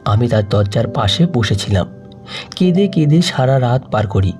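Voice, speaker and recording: A man narrating an emotional audio story in the first person, reading in a soft, sorrowful way. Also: mid-range voice, lively intonation, normal speed, over music.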